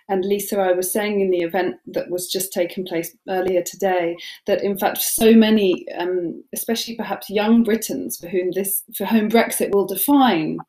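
A woman's voice: medium pitch, warm tone. A middle-aged woman moderating a panel over a video call, thoughtful and hesitant with many restarts. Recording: echoey room on video call.

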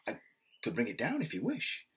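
A man voicing a curious, hesitant folktale villager, questioning and halting, in a husky voice. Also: medium pitch, huge pitch swings, a bit bright, heavily acted.

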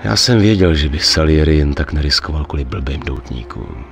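A man's voice: extremely low pitch, expressive, creaky, soft background music, full character voice. A male actor in a dubbed video game, playing a tough, wary, level-headed gangster with a dry, blunt manner.

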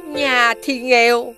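Stingy village aunt: southern Vietnamese, very high, swooping nag for a cartoon, loud music behind. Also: female voice, full character voice. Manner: shrill, nagging, complaining. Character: stingy, scolding middle-aged peasant aunt. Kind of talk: animated fairy-tale dubbing.

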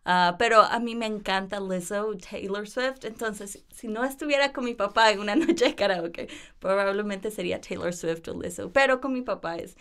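A woman's voice: mid-range voice, normal speed, hardly any pauses, fairly bright tone, clear, resonant tone. A young woman, chatting cheerfully as a guest on a student podcast interview. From Ecuador. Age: 20s. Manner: cheerful, laughs often, fillers like "um". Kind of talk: casual interview chat.

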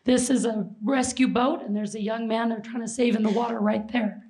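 A middle-aged woman from Seattle with a hoarse, failing voice, giving a lively history presentation and quizzing an audience. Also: a little high, moderate pace. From Seattle, Washington. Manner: lively, jokes with the audience, asks questions. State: hoarse, losing her voice. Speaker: presenter and flight attendant. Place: large auditorium stage. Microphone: handheld mic.

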